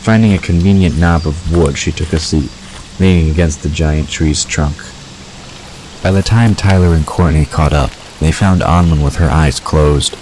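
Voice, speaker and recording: A man's voice: very deep voice, fairly even intonation, normal speed, a little mellow, a little hiss or hum, low-quality recording. A man reading scripted story narration as the narrator of an audio drama.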